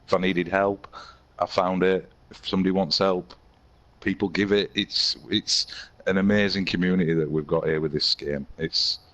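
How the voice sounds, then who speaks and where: A hoarse man from England with a Yorkshire accent, chatting casually as an interview guest and laughing easily. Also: sits low, fairly even intonation, moderate pace, fairly bright tone. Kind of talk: casual chat.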